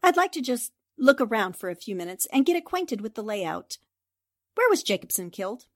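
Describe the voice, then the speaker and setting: An actress playing a determined, inquisitive newspaper reporter in a 1940s radio drama, with a probing manner. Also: female voice, fairly high pitch, very animated, a little fast. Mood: curious.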